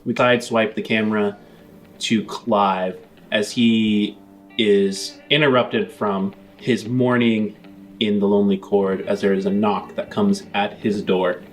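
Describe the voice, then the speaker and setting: Lowish male game master over music. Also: little pitch movement, measured pace. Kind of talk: improvised tabletop roleplay narration.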